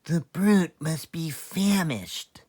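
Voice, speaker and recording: A man acts out a gruff storybook farmer lying in wait with his gun. His speech is emphatic and menacing, with animated pitch. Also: takes it slowly, no echo, clean studio sound, full character voice. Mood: grimly eager. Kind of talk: children's storybook read-aloud.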